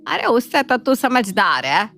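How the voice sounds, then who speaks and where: A woman's voice: fairly high pitch, very animated, unhurried, vocal fry, a bit bright, heavily acted. A woman voicing a scheming, middle-aged cartoon mother-in-law who scolds, coaxes and dotes on her daughter. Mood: coaxing, commanding. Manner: scolding, coaxing, commanding. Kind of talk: Hindi cartoon family drama acting. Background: faint background music.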